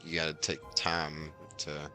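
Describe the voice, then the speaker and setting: A man's voice: extremely low pitch, monotone, measured pace, pushed and bright. A man giving casual live game commentary as a streamer, musing aloud and answering his chat. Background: game music.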